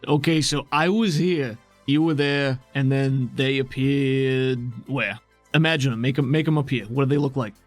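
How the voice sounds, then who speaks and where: A man's voice: mid-range voice, normal speed, faint music behind, natural voice. Man playing laid-back, folksy male guide. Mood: easygoing, reassuring. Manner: casual, folksy, reassuring. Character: laid-back, teasing male guide inside a woman's mind.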